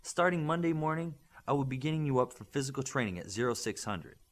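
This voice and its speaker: A male narrator plays a stern mentor of young superheroes in an audiobook. He lectures firmly and with principle, leaning on rhetorical questions, his mid-pitched voice rising and falling emphatically. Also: animated, fast talker, close to an everyday voice. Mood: earnest, firm. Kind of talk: audiobook character dialogue.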